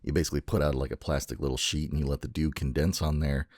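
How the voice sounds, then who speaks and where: A man's voice: extremely low pitch, little pitch movement, fast talker, darkish tone, breathy edge, no room sound, clean studio sound. A man hosting a podcast in casual chat, playfully teasing and swearing freely. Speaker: podcast host.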